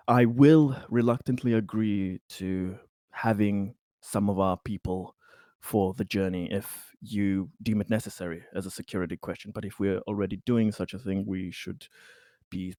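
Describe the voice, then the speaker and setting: A man roleplaying at a tabletop game, choosing formal, deliberate words. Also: lowish voice, mellow, no echo, studio quality. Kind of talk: in-character tabletop roleplay discussion.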